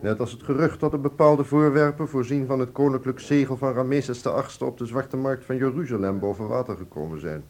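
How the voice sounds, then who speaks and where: An actor playing a shrewd, self-assured museum doctor in a radio drama, measured, dry and authoritative. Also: male voice, medium pitch, darkish tone, close to an everyday voice. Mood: insinuating, firm. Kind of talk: radio drama acting in Dutch.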